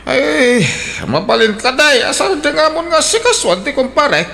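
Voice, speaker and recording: A man doing a scheming, excitable old father. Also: a little slow, vocal fry, slight background noise, full character voice. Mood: scheming, chatty. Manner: excitable, jumps high in pitch. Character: chatty old father Arot, nosy and scheming. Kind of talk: Ilocano radio drama acting.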